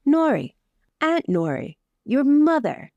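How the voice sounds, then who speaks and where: Woman as a cheeky young noblewoman, mellow voice. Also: high voice, very animated, takes it slowly, vocal fry, dry recording, lo-fi sound, exaggerated voice. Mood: curious, familiar. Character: cheeky, casual young noblewoman in her twenties, teasing cousin.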